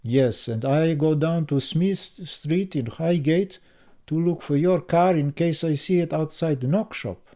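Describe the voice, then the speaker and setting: A blunt older immigrant father-in-law who talks in slow, broken English, as a male narrator puts him on. His voice stays a little raised in a storybook reading. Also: fairly high pitch, warm tone, voice pushed up, natural voice.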